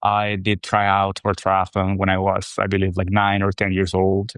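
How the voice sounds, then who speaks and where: A man in his thirties from Costa Rica, a brand co-founder talking about himself in a relaxed, rambling way. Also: sits low, moderate pace, clear, resonant tone, dry recording, studio quality. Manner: relaxed, rambling, with fillers and restarts. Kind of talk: casual interview talk.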